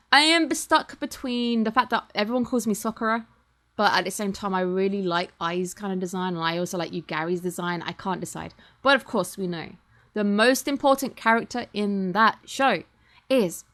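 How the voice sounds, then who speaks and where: A young British woman in her twenties talks to her viewers from a home setup in a chatty, wandering way, with easy self-deprecating asides. Her voice sits at an ordinary middle pitch for a woman, and she sounds relaxed and informal. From Britain. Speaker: channel host. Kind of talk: casual thank-you chat to viewers. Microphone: computer or webcam mic.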